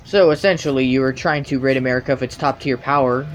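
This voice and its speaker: A man's voice: medium pitch, fairly even intonation, quick pace, slight background noise. A man giving nitpicking commentary on video game cutscenes in a questioning, argumentative way.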